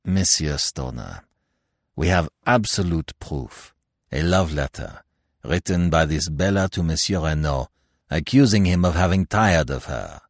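A man voicing a courteous French examining magistrate in an audiobook mystery, speaking in a formal, deliberate, polite way. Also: rumbling low, animated, dry recording, studio quality, full character voice. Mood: grave, insistent. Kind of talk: acted dialogue in audiobook mystery.

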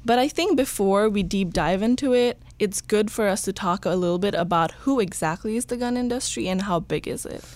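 A woman's voice: mid-range voice, fairly quick, few pauses, a little mellow, no echo. Young woman guest from Bangladesh. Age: young adult. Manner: polite, eager, a little nervous. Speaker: intern and guest. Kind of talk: podcast interview.

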